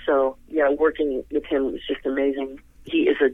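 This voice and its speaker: A woman's voice: very deep voice, flat delivery, normal speed, slightly rough, dry recording. Older woman on a tinny phone line. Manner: effusive, rambling, laughs often. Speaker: singer, interview guest. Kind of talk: casual interview over the phone. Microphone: telephone.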